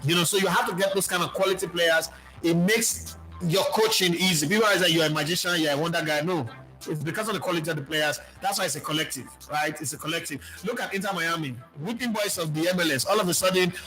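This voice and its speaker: A Nigerian man speaking as a football pundit, answering viewer questions in an animated, preachy way and mixing in pidgin. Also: fairly high pitch, fast, soft background music, low-quality recording. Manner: animated, preachy, lists players, mixes in pidgin.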